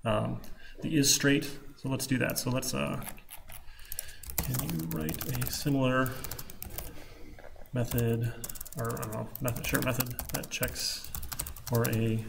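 Casual Midwestern man with a gravelly voice. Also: mid-range voice, fairly even intonation, takes it slowly, pauses often. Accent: Michigan Midwestern American. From Michigan, US. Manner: casual, rambling, thinking aloud. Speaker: programming lecturer. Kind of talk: informal live coding lecture. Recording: clicking keyboard, faint room noise. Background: keyboard typing.

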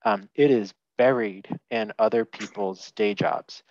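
A man's voice: lowish voice, a little slow, darkish tone, no room sound. A man on a headset in a small room, giving candid, opinionated views as a panelist in a webinar, with many fillers and restarts. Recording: dull lo-fi video call audio.

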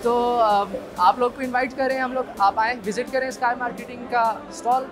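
A man's voice: very high voice, flat delivery, brisk. A young man, hosting and interviewing at an expo in a polite, formal way. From Pakistan. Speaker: host. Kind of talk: event presenting and interviewing. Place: busy indoor expo hall. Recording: music playing behind.

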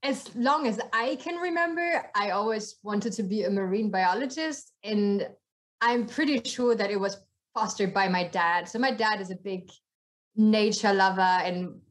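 A woman's voice: medium pitch, measured pace. Woman with a German accent. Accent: German, mixed with Australian and American. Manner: frank, joking, open. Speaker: guest, marine biologist. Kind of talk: casual interview storytelling.

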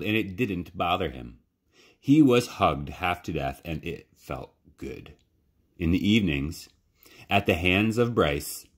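A man reading a children's novel aloud as a library storyteller, with measured, dramatic pauses. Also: sits low. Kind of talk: expressive children's audiobook-style narration.

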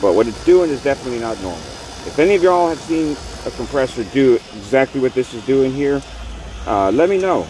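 A man's voice: mid-range voice, a little fast. A man, a refrigeration technician casually walking viewers through a fault on a rooftop, filmed on a phone held close. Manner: relaxed, plain-spoken, talks to viewers as "y'all". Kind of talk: casual on-the-job walkthrough. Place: outdoors on a commercial rooftop by running equipment. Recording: loud machinery and traffic noise. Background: loud compressor and fan hum.

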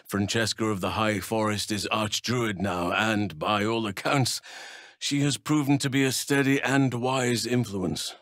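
Low-voiced, wise fantasy archdruid. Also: male voice, fairly even intonation, fairly bright tone, no echo, studio quality. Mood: grave, thoughtful. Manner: measured, formal, thoughtful phrasing. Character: wise, gentle, grave male archdruid of a fantasy world. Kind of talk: fantasy video game character lines.

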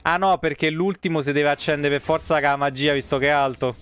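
A man's voice: a little high, steady tone, fast talker, fairly bright tone, slight background noise. A man, muttering casual, foul-mouthed commentary as he streams a game. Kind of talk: casual live gameplay commentary. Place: small indoor room, streaming setup.

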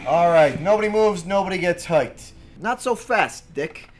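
A man's voice: high voice, animated, unhurried, noisy background. A man playing a menacing, cocky film-noir gangster in a melodramatic style. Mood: threatening.

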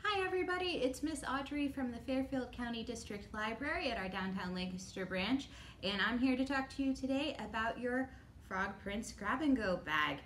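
A woman's voice: fairly high pitch, expressive, moderate pace, a bit bright, slight background noise. A middle-aged American woman giving children simple craft instructions as a librarian. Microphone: camera a few meters away.

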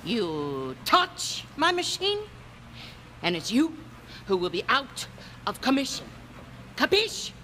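Tough frozen yogurt shop woman, blunt, sardonic, menacing, unhurried, swooping intonation, game cutscene. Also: fairly high pitch, wildly swooping pitch, pushed and bright, a little hiss or hum, heavily acted. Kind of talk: video game cutscene acting.

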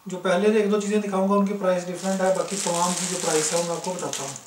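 A man from Pakistan showing clothes for sale, listing prices in a brisk, matter-of-fact way. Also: high voice, steady tone, recorded from a distance, very noisy. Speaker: online clothing seller. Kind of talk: casual product showcase. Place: indoors, filming fabrics on the floor. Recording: echoey room, somewhat off-mic.

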